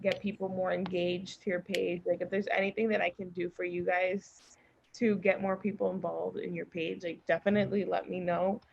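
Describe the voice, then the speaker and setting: A woman's voice: on the low side, flat delivery, moderate pace, vocal fry, husky, highs cut off. A woman chatting casually and earnestly as an encouraging podcast guest. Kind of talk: casual chat. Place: remote group call.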